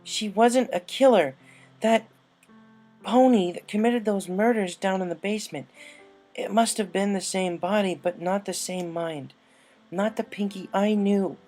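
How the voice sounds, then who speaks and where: A brash young mare pegasus played by a woman reading a story, loyal and fiery. The voice is pushed up high, blunt and full of feeling. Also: dark tone, soft background music, natural voice. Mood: defensive, earnest. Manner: blunt, impassioned, halting when upset.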